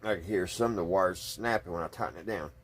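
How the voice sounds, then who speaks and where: A man with a slightly husky voice, talking casually and folksily through hands-on repair work, thinking aloud without hurry. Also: deep voice. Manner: folksy, unhurried, thinking aloud while working. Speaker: hobbyist radio restorer and video host. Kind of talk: casual hands-on workbench narration.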